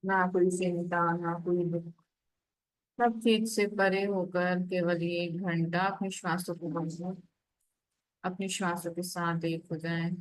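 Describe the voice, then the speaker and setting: Indian woman, meditation guide: lower-pitched, very even delivery, calm, close dry sound. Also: a little slow, dry recording, poor audio.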